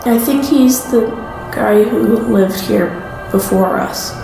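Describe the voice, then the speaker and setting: An actress as an uneasy young woman in a naturalistic audio drama. She talks slowly and haltingly, often trailing off, in an echoey space with clear music underneath. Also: fairly high pitch. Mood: ominous, halting. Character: hesitant, uneasy young woman living in a strange house. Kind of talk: naturalistic fiction podcast acting. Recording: roomy echo, music underneath.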